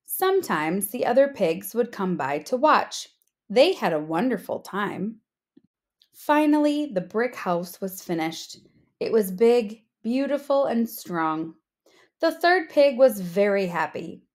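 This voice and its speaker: A woman narrating a children's storybook aloud in an expressive read-aloud style. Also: a little high. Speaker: storybook reader, teacher.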